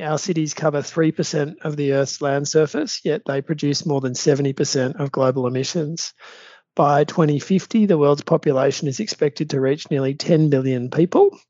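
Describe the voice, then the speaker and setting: A man's voice: a little high, mellow, no echo, highs cut off. Male podcast host, dry sound. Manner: measured, reads prepared introduction. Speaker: podcast host, law firm partner. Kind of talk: scripted podcast hosting.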